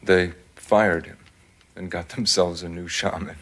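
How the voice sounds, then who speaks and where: A man playing a composed military colonel, speaking in a measured, solemn storyteller's way in a television drama. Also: low voice, flat delivery, fairly bright tone, soft background music. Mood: solemn storytelling.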